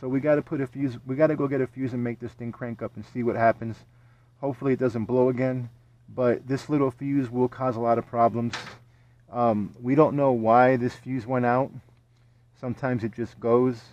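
A man's voice: steady tone, moderate pace, a little mellow. A man working as an HVAC technician, explaining a repair step by step and checking in with "okay?" after each point, recorded on a body-worn camera in an attic and then in a backyard. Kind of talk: hands-on repair tutorial. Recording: dull, dry, low-fidelity sound.

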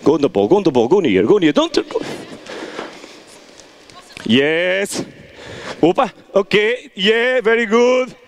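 A man's voice: sits high, slow, long pauses, a little hiss or hum. A middle-aged man coaching players through a soccer drill in a large indoor hall, checking in with "okay?" as he goes. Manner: animated instructions with frequent "okay?" check-ins. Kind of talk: on-field coaching demonstration.

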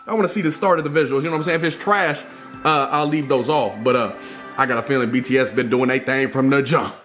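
High-energy young American man, fast and bright. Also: soft background music. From United States. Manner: loud, energetic and profane, addresses viewers directly. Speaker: YouTube reaction host. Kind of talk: hyped reaction video talk. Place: small indoor room with a clothing rack.

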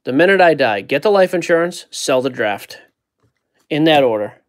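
A man's voice: a little high, animated, normal speed. A man hosting a card break, casually joking while he reads out player names from the packs. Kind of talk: casual card-opening chat. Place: indoor card-breaking table.

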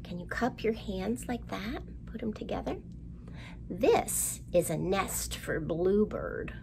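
A middle-aged woman presents a children's storytime in simple, questioning language. Her pitch moves widely and expressively, and she takes her time. The recording is made indoors on a camera mic, with some noise behind her. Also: expressive, slow, gravelly. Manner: simple child-directed talk with questions and exaggerated delivery. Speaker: children's librarian, storytime host. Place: indoor room, seen at a storytime backdrop.

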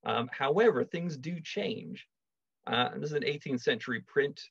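Husky man giving a dry lecture. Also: medium pitch, fairly bright tone, no echo. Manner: dry, measured, wry asides. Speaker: lecturer, archaeology project manager. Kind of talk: online slide lecture. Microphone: video call webcam. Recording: dull, dry video call audio.

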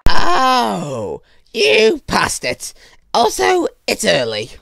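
Woman playing a creaky, excitable little animatronic. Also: a little high, very slow, slight background noise, full character voice. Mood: excited, chatty. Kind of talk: cartoonish comic dub acting.

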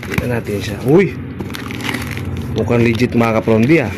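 A man's voice: mid-range voice, moderate pace, background noise. A middle-aged Filipino man chatting casually through an unboxing vlog, repeating short phrases. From Philippines. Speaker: barber vlogger.

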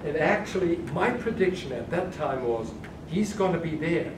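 A man's voice: fairly high pitch, expressive, normal speed. An older man from Germany giving a keynote lecture to a conference audience, speaking hesitantly with many restarts and fillers. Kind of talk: conference lecture answering questions. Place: large carpeted conference room. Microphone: far room camera. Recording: echoey hall from far off, noisy.

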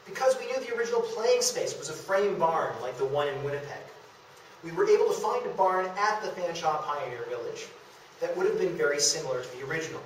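A young man delivers a prepared lecture in a fairly high voice. He is picked up from a distance in a very echoey room, with some noise underneath. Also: animated, fairly quick. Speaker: lecturer presenting a local theatre history talk. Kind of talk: prepared public lecture, scripted. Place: meeting room in a historic house, at a podium. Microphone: distant camera microphone. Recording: echoey room, distant camera, background hiss.

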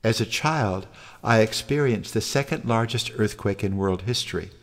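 An older man from Alaska, a home designer, narrating his project in a calm, measured, earnest way. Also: on the low side. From Alaska, United States. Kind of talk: scripted narration.

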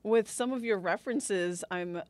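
Measured woman podcast host, dry studio. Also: medium pitch, darkish tone, dry recording. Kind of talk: interview questions. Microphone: boom mic on desk.

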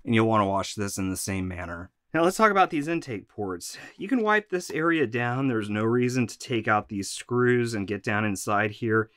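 A man's voice: on the low side, animated, normal speed, hardly any pauses, a bit bright, dry recording, clean studio sound. A man, a little congested from allergies, hosting a practical vacuum maintenance tutorial from a shop workroom. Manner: practical, instructive, conversational.

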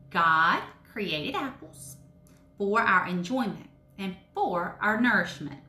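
A woman hosts a devotional story time for children in simple, question-filled phrases. Her delivery is unhurried and very animated in pitch. The room adds noticeable echo around her voice. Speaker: children's story time host, Sunday school teacher. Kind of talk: children's devotional talk addressed to young listeners. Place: indoors in a home room with brick wall and wood cabinets. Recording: roomy echo, faint background noise.

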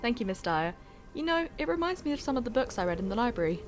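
A woman's voice: fairly high pitch, sing-song, quick pace, soft background music. A woman playing an eager, bookish young graduate student in an audio drama. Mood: earnest.

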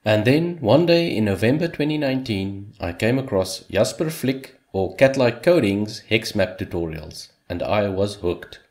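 Low-voiced man narrating a dev recap. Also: lively intonation, a little slow, hardly any pauses, a little mellow. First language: Afrikaans. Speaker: hobby game developer, channel host. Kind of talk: scripted voice-over narration.

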